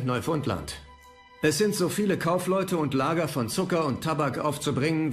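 A man acting as a stern statesman of a bygone age in a historical audio drama. His voice is fairly high and swings widely as he declaims formal orders, with faint music behind him. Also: natural voice. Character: stern Dutch statesman giving war orders. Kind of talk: historical docudrama radio play acting.

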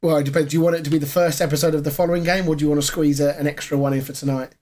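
This is a hoarse man, fairly high for a man, chatting casually on a gaming podcast. He rambles with fillers, recorded on a desk mic in a small home room. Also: steady tone, racing, a little mellow. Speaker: podcast co-host.

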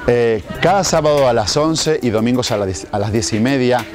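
Male fitness instructor, forties, informal presenter to camera, recorded outdoors with clear background music. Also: medium pitch, normal speed. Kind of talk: informal promotional talk to camera. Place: outdoors at a seaside marina. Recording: music playing behind the voice.

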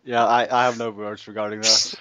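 A man's voice: fairly low pitch, level tone, fairly quick, bright, forceful tone, clear, resonant tone, no room sound. A man chatting casually and hesitantly as he plans a video, on a somewhat dull recording. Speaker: video creator. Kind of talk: casual chat while planning a video.

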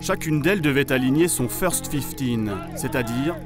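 A man's voice: medium pitch, moderate pace. A man reading scripted documentary narration as the narrator. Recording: music behind the voice-over. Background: music.

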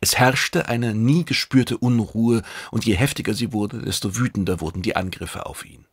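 A man's voice: on the low side, normal speed, slightly rough, no echo. A man reading a science fiction audiobook as a dramatic scripted narration.

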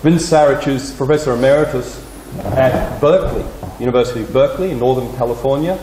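A middle-aged man hosting a lecture, introducing the speaker in a halting way as he searches for words. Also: medium pitch, dark tone. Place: lecture hall, indoors. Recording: roomy hall with faint background noise.

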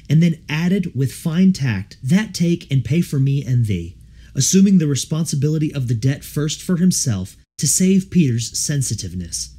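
A man reading a devotional audiobook in an earnest, measured, formal way. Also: medium pitch, dark tone, husky. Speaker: audiobook reader. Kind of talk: scripted audiobook narration of a devotional text.

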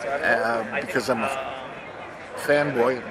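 An older man gives a casual interview at a busy convention. His voice sits at a middle pitch, with a very loud crowd all around him. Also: fairly even intonation, slow pace. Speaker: interview guest, comic book editor. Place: busy comic convention booth.

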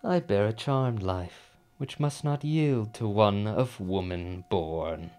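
A man's voice: on the low side, slow pace, darkish tone, smooth. A man playing a doomed, defiant tyrant king in a Shakespearean radio drama, declamatory and swinging between brooding and roaring. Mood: grim defiance.

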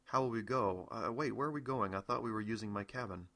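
An anxious protagonist , acted out by a man reading his audiobook, flustered and quick to protest. The voice is bright and on the low side. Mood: confused. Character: startled, anxious male protagonist arguing back.

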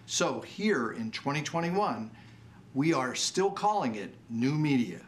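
A man in his sixties hosting with a formal, scripted, clearly worded presentation. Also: medium pitch, big pitch swings, a little slow. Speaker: awards show host. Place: indoor TV studio set.

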